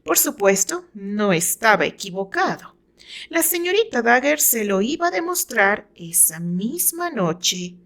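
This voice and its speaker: A woman's voice: fairly high pitch, big pitch swings, measured pace, creaky, fairly bright tone. A woman with a Mexican accent reading her own fiction aloud as dramatic scripted narration.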